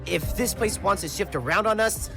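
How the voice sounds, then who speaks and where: A woman's voice: medium pitch, normal speed, creaky, lightly acted. A woman voicing a cocky, upbeat young male hedgehog adventurer in a fan comic dub, playful and breezy. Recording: loud music behind.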